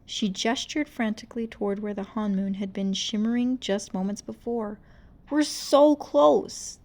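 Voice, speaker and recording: A woman reading a storybook aloud in an expressive, suspenseful, dramatic narrating style. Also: medium pitch, normal speed. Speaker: storybook reader.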